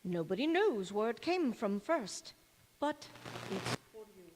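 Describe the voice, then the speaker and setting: A woman's voice: on the high side, very animated, unhurried, background noise. Woman playing dour old maidservant, echoey hall. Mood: solemn, mysterious. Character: old Scottish maidservant and former nanny, dour and devoted. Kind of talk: golden age radio drama acting. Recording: echoey church hall stage recording.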